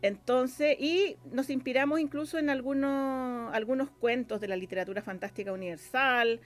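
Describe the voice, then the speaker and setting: A woman's voice: sits high, normal speed. A Chilean woman answering as an interview guest, explaining casually in hesitant, circling phrases. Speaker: radio drama scriptwriter, interview guest.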